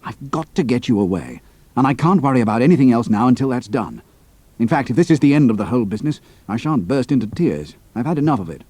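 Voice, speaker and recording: A man's voice: medium pitch, big pitch swings, quick pace, mellow, no echo, pitched above the performer's own voice. A man voicing a cool, resourceful young British airman undercover in an audiobook, with clipped, casual officer talk. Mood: urgent, guarded. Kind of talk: audiobook character acting.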